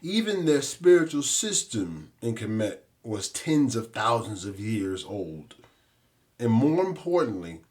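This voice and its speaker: A man hosts a podcast with a scripted monologue in a mid-range voice. His pitch moves up and down in a lively way, and his delivery is earnest and deliberate. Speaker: podcast host.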